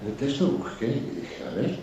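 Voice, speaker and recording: A man's voice: mid-range voice, very animated, moderate pace, warm tone. Guy from spain telling stories casually, effusive and sweary, super echoey, bit far from the mic. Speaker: guest. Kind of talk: casual anecdotal chat. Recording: very echoey room, distant mic, faint noise.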